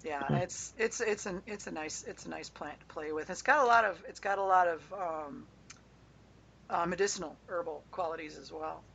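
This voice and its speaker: Hoarse podcast host, rambling. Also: medium pitch, moderate pace, a bit bright. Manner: rambling, hesitant, many fillers. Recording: muffled lo-fi audio with faint hiss.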